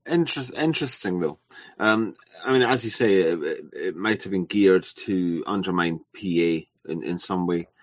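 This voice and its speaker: Co-host, male, hesitant and halting, low voice, dry sound. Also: no echo. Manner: hesitant, stumbles over words. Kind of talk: casual chat.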